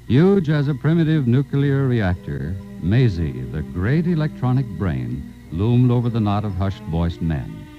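Casting: theatrical storyteller, wry, lively intonation, muffled 1950s radio sound. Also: male voice, lowish voice, unhurried, few pauses. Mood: dramatic, measured. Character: dramatic, wry male science fiction narrator. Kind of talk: scripted 1950s radio drama narration. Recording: dull vintage radio broadcast recording. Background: music.

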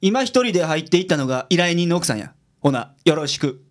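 A gruff detective boss acted by a man with a Kansai accent and a notably high voice for a male. He gives blunt orders in radio drama style. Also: big pitch swings, fairly quick. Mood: brisk. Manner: blunt, commanding.

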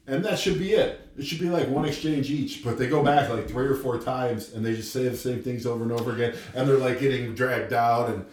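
A man's voice: mid-range voice, steady tone, a little fast, hardly any pauses, darkish tone, slight background noise. A man co-hosting a podcast in loose, opinionated banter with crude, informal joking. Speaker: podcast co-host. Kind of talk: casual chat, opinionated banter. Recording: echoey room, distant from mic.

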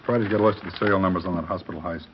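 Quick, low-voiced police captain played by a man. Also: fairly even intonation, close to an everyday voice. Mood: businesslike. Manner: blunt, businesslike, clipped. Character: brisk, commanding police captain questioning a suspect. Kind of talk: 1950s radio police drama acting. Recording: muffled, noisy vintage radio recording.

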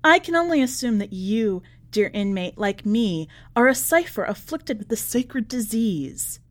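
A woman's voice: on the high side, big pitch swings, a little slow. An imprisoned letter writer, played by a woman narrator, genteel and over-polite with a brittle, ironic cheer. The voice swoops through ornate sentences in a dramatic story reading. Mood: falsely cheerful.

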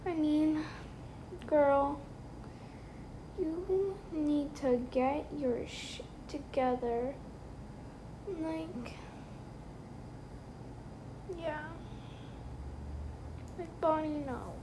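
High, slow, rambling young woman. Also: background noise. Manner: chatty, rambling, lots of fillers. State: tired, late at night. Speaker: podcast host. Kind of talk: casual solo podcast chat. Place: her bedroom at night.

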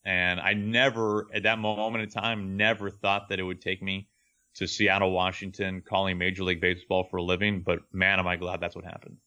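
A young man with a deep voice, an earnest, reflective baseball broadcaster telling his story as an interview guest. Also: flat delivery, fairly quick, sharp tone.

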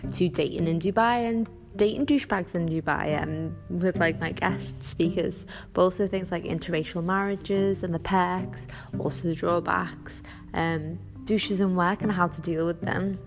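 A woman with a Scouse accent hosting a casual solo podcast, rambling and chatty with many ums and quick self-corrections. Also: fairly low pitch, gravelly. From Northwest England. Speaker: podcast host.

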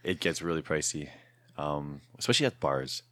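A man in his thirties hosting a podcast in a casual chat, his voice raspy and hoarse from shouting, rambling with fillers and laughs. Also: very deep voice, lively intonation, normal speed, no room sound. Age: 30s. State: hoarse from shouting the night before. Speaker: podcast host.

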